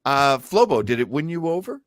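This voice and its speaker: A man's voice: a little high, sing-song, moderate pace, no room sound, clean studio sound. A man hosting a casual chat show, talking in an effusive, appreciative manner. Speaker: show host.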